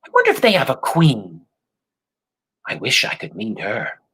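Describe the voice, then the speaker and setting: A man's voice: mid-range voice, wildly swooping pitch, normal speed, fairly bright tone, slightly rough, exaggerated voice. A storyteller voicing a boy who has turned cold and scornful, talking in a dismissive way.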